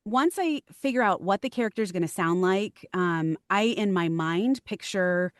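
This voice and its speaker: A woman's voice: mid-range voice, animated, moderate pace, no room sound. Woman voice actress with a dry sound. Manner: thoughtful, many ums, light laughs. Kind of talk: casual interview chat.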